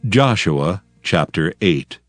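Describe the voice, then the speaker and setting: A man's voice: deep voice, expressive, takes it slowly. A man giving solemn, scripted narration of scripture as the narrator of an audio drama. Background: music.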